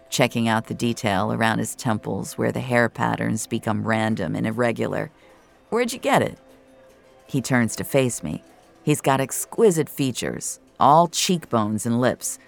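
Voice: mid-range voice, moderate pace, gravelly, soft background music. A narrator reading first-person audio fiction in a wry, colloquial way.

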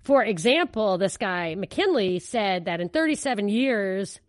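A woman's voice: mid-range voice, very animated, unhurried, dry recording, clean studio sound. An American woman co-hosting a podcast, giving casual, opinionated and emphatic political commentary. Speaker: podcast co-host.

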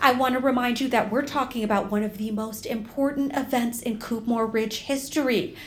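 A woman playing a brisk, bossy, businesslike small-town council representative in an audio comedy. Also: a little high, steady tone, close to an everyday voice. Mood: officious.

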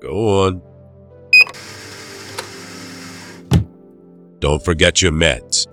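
An actor playing a cold, controlling doctor in an audio drama, giving orders in a stern, measured, quietly menacing way. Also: male voice, sits low, music in the background. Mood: controlled.

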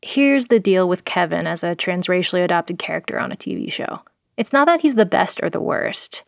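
A woman's voice: fairly low pitch, lively intonation, fairly quick, vocal fry, no echo, studio quality. A woman born in South Korea and raised in the US, hosting an audio documentary in a wry, sardonic voice with pop-culture slang. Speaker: producer and host. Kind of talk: scripted audio documentary narration.

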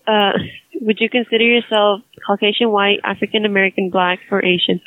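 Fast-talking woman on a tinny phone line. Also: medium pitch, fairly even intonation, no echo. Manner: polite, reads questions off a script. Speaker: survey caller. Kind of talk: scripted phone survey.

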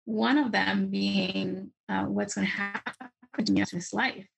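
A woman, earnestly telling her personal story as a podcast guest, often pausing with fillers. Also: medium pitch, steady tone, creaky, slightly rough, low-quality recording. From Chile. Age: 40s. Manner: earnest, hesitant with frequent fillers.

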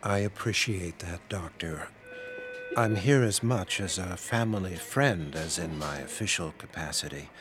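An actor playing a solemn small-town pastor with a measured, preachy, paternal manner in an audio drama. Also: male voice, low voice, music in the background. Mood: calm, paternal. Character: solemn, slow-talking pious small-town male pastor.

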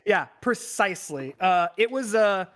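A man hosting a podcast in excitable casual chat, stumbling through restarts. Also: high-pitched, measured pace. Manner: excitable, hesitant, many fillers and restarts. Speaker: podcast host.

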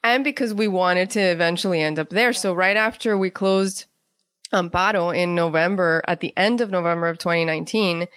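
A woman's voice: lowish voice, fairly quick, fairly bright tone, no room sound, studio quality. A woman speaking as an interview guest, telling stories conversationally and acting out what people said. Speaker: guest, playwright.

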